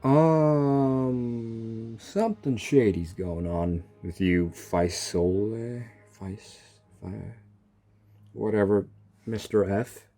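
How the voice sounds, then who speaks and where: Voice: mid-range voice, very slow, dark tone, faint music behind. A streamer giving casual, sarcastic commentary while reacting to a video game, talking back to the characters.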